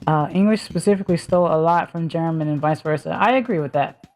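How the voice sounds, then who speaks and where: Low-voiced woman with a mild Southern accent. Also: quick pace, a little mellow, soft background music. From Southern United States. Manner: rambling, thinks aloud with many fillers. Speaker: streamer. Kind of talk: casual stream chat.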